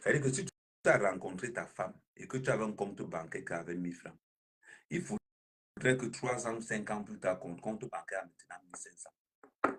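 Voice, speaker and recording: A man chatting casually on a live stream, speaking in a flowery, flattering way. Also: normal speed, husky. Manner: flowery, sweet-talking, bursts of high laughter.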